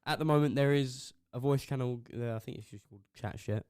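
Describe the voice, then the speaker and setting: A young man co-hosting a casual podcast, teasing his brother with quick interjections. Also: mid-range voice, a little mellow, clear, resonant tone, dry recording, studio quality. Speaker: podcast co-host. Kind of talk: casual chat. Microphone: studio podcast mic.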